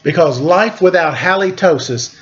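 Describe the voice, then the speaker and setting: A man hosting a children's story time, reading a picture book aloud in an expressive, dramatic way with casual asides. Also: sits high, normal speed, dull audio. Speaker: storyteller and show host.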